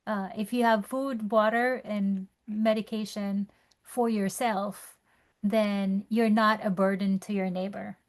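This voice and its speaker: A woman from the Philippines, sharing from her experience in a measured, thoughtful way. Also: mid-range voice, fairly even intonation. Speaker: call participant.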